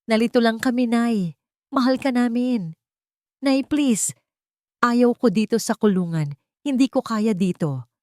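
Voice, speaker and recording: A man's voice: extremely high pitch, unhurried, pauses often, dry recording, studio quality, pitched above the performer's own voice. A woman voicing an ashamed, desperate grown son in prison who pleads through sobs in an audio drama. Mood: pleading. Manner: sobbing, pleading.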